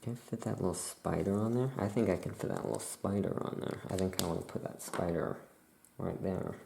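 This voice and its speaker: A soft-spoken ASMR host playing a witch shopkeeper, casually improvising and thinking aloud in an unhurried, rambling way. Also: deep voice, creaky, background noise.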